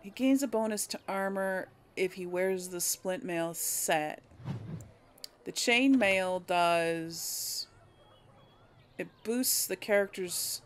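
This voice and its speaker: A woman giving casual game commentary, thinking aloud in a hesitant, musing way as she hosts. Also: on the low side. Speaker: gaming video host.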